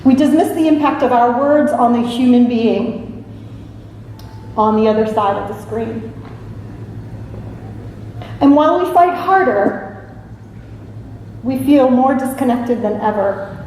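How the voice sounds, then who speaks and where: A woman from New York and Italy, a consultant giving a prepared talk in an earnest, emphatic, deliberate way. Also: a little high, animated, a little mellow. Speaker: speaker, evaluation consultant. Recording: distant echoey hall with faint noise.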